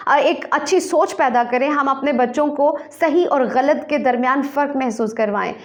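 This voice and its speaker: A woman with a Pakistani accent hosting a programme, delivering a formal, rhetorical scripted monologue to viewers. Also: high-pitched. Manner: formal, rhetorical, literary phrasing. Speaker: programme host.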